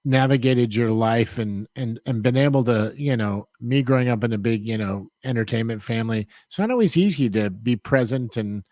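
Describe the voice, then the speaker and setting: A man's voice: medium pitch, moderate pace, hardly any pauses, darkish tone, no echo, studio quality. A man co-hosting a podcast, speaking earnestly with lots of fillers. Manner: earnest, filler-heavy, complimentary. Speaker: co-host. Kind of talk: casual podcast chat.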